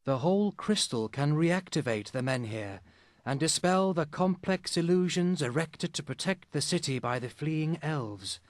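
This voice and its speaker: An actor playing a solemn, scholarly male elf in a fantasy video game, speaking formally and with measured gravity. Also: fairly high pitch, no echo, studio quality. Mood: grave warning.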